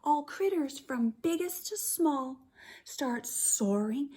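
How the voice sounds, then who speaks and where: A woman teacher reading a picture book aloud to young children, expressively and deliberately, drawing out her words. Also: high-pitched. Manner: deliberate, animated storytelling with drawn-out words.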